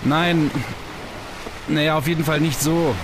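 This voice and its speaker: A man's voice: a little high, fairly even intonation, measured pace, background noise. A man playing an awkward, nervous young stage mentalist in a science-fiction radio play, stammering and hesitant. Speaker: voice actor in an audio drama.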